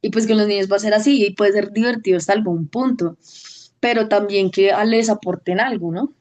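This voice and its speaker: A woman's voice: mid-range voice, a little fast, darkish tone, highs cut off. A woman, a teacher giving a testimonial in an interview. She speaks in a thoughtful, hesitant way, with restarts. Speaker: au pair program participant, teacher.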